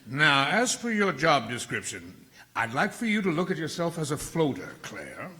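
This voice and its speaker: A man's voice: on the high side, huge pitch swings, normal speed, bright, forceful tone, exaggerated voice. A man playing a genial, enormous station boss with a lilting Southern accent.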